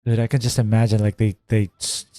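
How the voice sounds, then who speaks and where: A man's voice: on the low side, little pitch movement, fairly quick, warm tone, no room sound. A man giving casual, slangy, joking commentary as he plays through a game. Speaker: game streamer. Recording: game music behind the voice.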